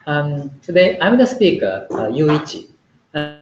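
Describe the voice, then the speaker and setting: A man from Japan, lecturing a small group in a hesitant, explaining manner with many filler pauses. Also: fairly high pitch, big pitch swings, darkish tone, soft background music. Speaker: Buddhist lecturer. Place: indoor meeting room. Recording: roomy, slightly dull, faint room hiss.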